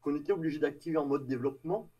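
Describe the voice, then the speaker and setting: Guy doing a tech talk online, slightly high voice, halting, sounds off mic. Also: mellow, highs cut off. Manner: halting, hesitant delivery with trailing phrases. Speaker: tech meetup presenter. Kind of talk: live technical presentation with slides. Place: online video meeting with screen share. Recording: distant mic on online call.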